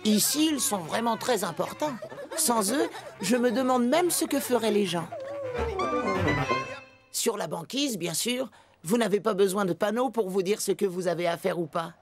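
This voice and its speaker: A woman's voice: medium pitch, lively intonation, moderate pace, close to an everyday voice. A woman voicing a young, cheeky, playful penguin in a French children's cartoon, with an eager, exclamatory delivery. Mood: cheerful narrating. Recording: prominent music behind the voice.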